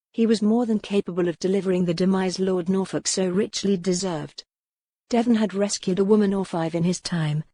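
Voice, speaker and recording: A woman's voice: fairly low pitch, mellow, dry recording, clean studio sound. A woman reading scripted, dramatic narration from a historical romance novel as an audiobook narrator.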